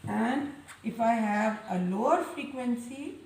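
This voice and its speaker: Echoey woman teacher explaining slowly. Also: on the high side. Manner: slow, deliberate, repeats key terms; speaks loudly for clarity. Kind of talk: classroom science lesson. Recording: echoey room, voice a bit far from mic, faint noise.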